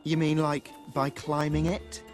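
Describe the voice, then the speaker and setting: An actress playing a gentle, cheerful fantasy game player with a dry, teasing manner in a video game. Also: female voice, low voice, little pitch movement, measured pace. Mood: mildly teasing. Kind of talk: video game cutscene acting. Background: music.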